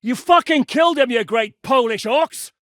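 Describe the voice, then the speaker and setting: An actor playing a gruff, battle-hardened rebel commander in a video game, barking orders, swearing and mocking his foes. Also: very high-pitched, normal speed, a bit bright, no room sound, studio quality. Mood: commanding.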